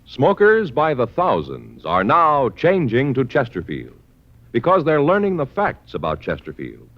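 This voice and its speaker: Highly animated American radio pitchman. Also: unhurried. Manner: emphatic, salesmanlike. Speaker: radio commercial announcer. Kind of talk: 1950s radio advertising pitch. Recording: muffled vintage radio broadcast.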